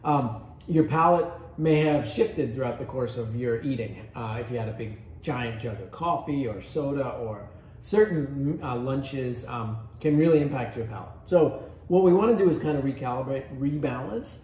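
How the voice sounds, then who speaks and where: A man's voice: medium pitch, normal speed, a little mellow. A man leading a cheese tasting class, guiding listeners step by step in an easygoing way. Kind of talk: casual tasting instruction. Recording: echoey room, faint background noise.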